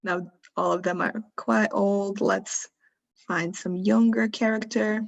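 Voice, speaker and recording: A woman teaches slowly and patiently in a live online workshop, narrating each step as she goes and checking in with her learners. Her voice comes through a computer mic on a video call, with dull, lo-fi audio. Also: medium pitch, steady tone, gravelly. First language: Croatian. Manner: thinks aloud while working, asks learners questions. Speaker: workshop instructor.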